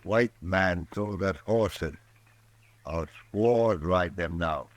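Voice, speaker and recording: A proud chief, deep and slow, short formal lines. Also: male voice, no room sound. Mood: stern. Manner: slow, formal, clipped speech. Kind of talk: 1950s radio drama acting.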